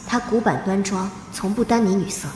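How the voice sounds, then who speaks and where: A woman playing a young noblewoman reborn for revenge, narrating solemnly and with measured drama. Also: mid-range voice, lightly acted. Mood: wistful, measured. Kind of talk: dramatic first-person narration for an animated period drama. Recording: echoey long reverb over loud music.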